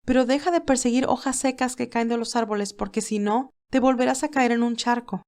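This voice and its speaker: A cheerful young woman who works as a nurse, acted out by a female reader. Her voice sits a little high, with lively, tender delivery. It suits a romantic audio storybook. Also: fairly quick, lightly acted. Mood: caring. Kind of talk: audio storybook character dialogue.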